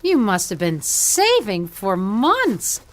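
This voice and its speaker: An actress playing a gentle, supportive mother in an audio drama, reassuring and lightly teasing. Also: mid-range voice, huge pitch swings, takes it slowly. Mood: warm, curious.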